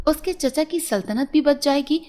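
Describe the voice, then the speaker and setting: A woman's voice: high-pitched, normal speed, mellow. A woman reading a scripted fairy tale as a storyteller.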